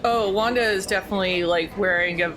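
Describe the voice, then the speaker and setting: A woman's voice: mid-range voice, brisk, background noise. Woman casually describing her game character. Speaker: tabletop game player. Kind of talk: casual descriptive role-play chat.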